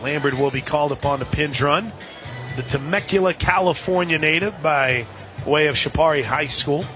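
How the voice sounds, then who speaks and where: A man calling softball play-by-play on the radio, conversationally filling in scores and details between pitches. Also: moderate pace, music in the background. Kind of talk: live softball radio commentary. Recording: outdoor stadium crowd behind the voice. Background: crowd noise.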